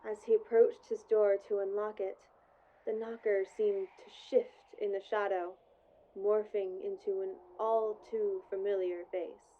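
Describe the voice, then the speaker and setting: A woman's voice: medium pitch, little pitch movement, measured pace, lightly acted. A woman narrating a radio play in a scripted storytelling style. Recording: muffled, with faint background noise.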